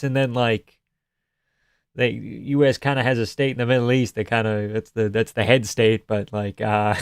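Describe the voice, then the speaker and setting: A man's voice: lowish voice, no echo, clean studio sound. A young man from Canada hosting a live stream, chatting casually and sarcastically as he reads out viewer comments and swears freely.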